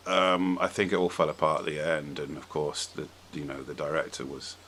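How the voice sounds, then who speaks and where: A man hosting a casual podcast discussion about films, giving blunt opinions in halting, hesitant phrases. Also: extremely low pitch, flat delivery, a bit bright. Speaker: podcast host.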